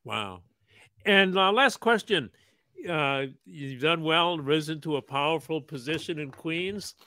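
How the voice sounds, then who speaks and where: Host: man, higher bright tone, brisk prompting, dry close sound. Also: animated. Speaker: interview host. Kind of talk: interview questions.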